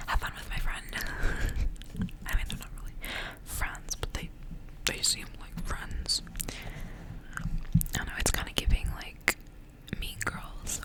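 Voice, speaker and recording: Female ASMR reader: fully whispered, soft and breathy, hushed storytelling delivery. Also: rough recording. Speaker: ASMR reader and channel host. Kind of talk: ASMR whispered storybook reading with casual asides.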